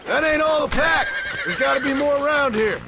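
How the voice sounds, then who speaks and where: Gruff western game dad, rural american accent, plain spoken and firm, really high pitch, horses clopping behind. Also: male voice, moderate pace, very noisy. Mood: firm, cautioning. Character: gruff, protective rancher father with a weary conscience. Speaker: game character actor. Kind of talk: dramatic video game dialogue. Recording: loud outdoor horse-ride ambience over dialogue.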